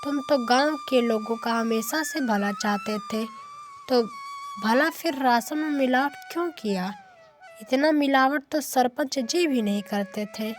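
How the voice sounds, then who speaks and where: A woman's voice: sits high, unhurried, faint music behind. A woman voicing disappointed, accusing villagers in a cartoon moral story.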